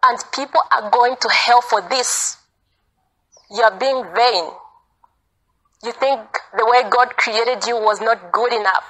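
High-voiced woman preaching earnestly. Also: steady tone, a little slow, pauses often, a bit bright, rough recording. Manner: insistent, repeats phrases for emphasis. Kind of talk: informal sermon, earnest exhortation.

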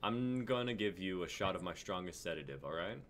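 A man in his twenties playing a huge, warm dragon-scaled doctor with a deep gruff voice and a calm, wry manner in a script reading. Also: fairly quick, slight background noise. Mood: calm, clinical. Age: 20s. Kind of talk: amateur dramatic script reading.

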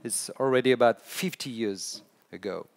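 A middle-aged man with a French accent giving an animated conference talk to an audience, chatty and now and then restarting his sentences. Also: medium pitch, normal speed, gravelly. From France. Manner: animated, chatty, laughs at own jokes, some hesitant restarts. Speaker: conference speaker. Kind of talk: keynote talk on storytelling. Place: large indoor conference stage.

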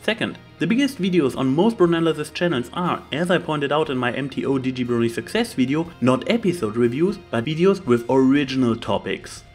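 A man's voice: medium pitch, expressive, fairly quick, hardly any pauses. A man reading scripted opinion commentary as a video host, making his points with emphatic lists. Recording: music behind the voice. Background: background music.

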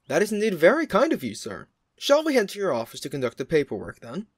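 A man voicing a courteous, brisk and sly railway owner. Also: fairly high pitch, wildly swooping pitch, no room sound, studio quality, pitched above the performer's own voice. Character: polite scheming male railway owner.

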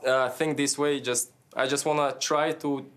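A young man in his twenties talks through his match over a video link from a press room, and his pitch sits right in the middle of the male range. He rambles and hunts for the right words, restarting sentences as he goes. He laughs now and then, but the delivery stays loose and unscripted. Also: fairly even intonation, moderate pace, a bit bright. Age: 20s. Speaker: tennis player. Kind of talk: post-match interview. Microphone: remote video link, head and shoulders.

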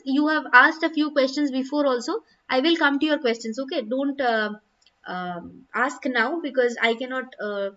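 A young woman with a high voice teaches a live online literature class from a small room at home. She explains each answer patiently and calls on her students by name. The sound is dull and a little distant, picked up by a laptop mic. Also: a bit bright. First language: not Hindi. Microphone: laptop webcam mic.

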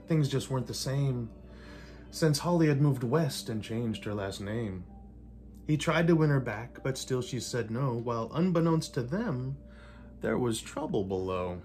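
An older man reads a rhymed picture book aloud in a living room, with measured, theatrical delivery and playful emphasis. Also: mid-range voice, expressive, a little mellow, faint music behind. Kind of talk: rhymed storybook read-aloud. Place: indoors in a home living room. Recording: light room noise.

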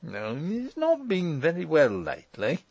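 A man's voice: a little high, wildly swooping pitch, unhurried, darkish tone, husky, no room sound, dull audio, pitched above the performer's own voice, heavily acted. A man voicing a sullen, evasive, nervous householder in an audiobook, hesitant and stammering as he schemes and blusters. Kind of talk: audiobook character voice.

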